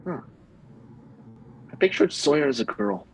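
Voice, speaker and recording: Creaky-voiced cocky teenage bro, played by a man. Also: fairly high pitch, big pitch swings, measured pace, a little hiss or hum. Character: cocky, dim teenage boy. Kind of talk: comedy table read.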